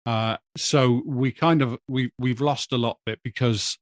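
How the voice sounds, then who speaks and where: Man, podcast guest, thoughtful measured talk, mid pitch, dry sound. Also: steady tone, no room sound. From Wales. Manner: measured, thoughtful, with hesitant starts. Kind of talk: casual podcast chat.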